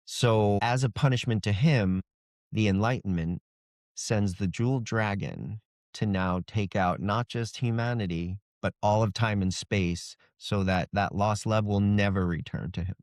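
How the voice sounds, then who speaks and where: Male author, low pitch, dry close sound, easygoing conversational interview delivery. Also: unhurried, no room sound. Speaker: fantasy author being interviewed.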